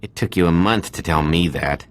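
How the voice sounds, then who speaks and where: A man's voice: deep voice, normal speed, close to an everyday voice. An actor playing a sober, cautious male informant in a video game. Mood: sober, concerned.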